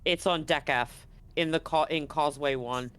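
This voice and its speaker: A man's voice: fairly high pitch, moderate pace, a bit bright, clear, resonant tone. A man speaking casually and with some swearing as game master of a tabletop role-playing game. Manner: casual, profane.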